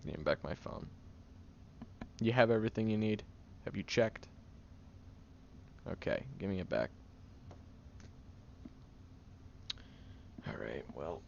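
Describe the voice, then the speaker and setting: A low, gravelly young man acted by a man in an intimate audio roleplay, on a slightly dull recording with some noise. He is sarcastic and easily flustered, bickering gruffly while hiding that he cares. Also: sing-song, moderate pace, long pauses, a little mellow. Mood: exasperated, softer. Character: prickly, defensive young man, insulting but secretly caring. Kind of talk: audio roleplay addressed to the listener.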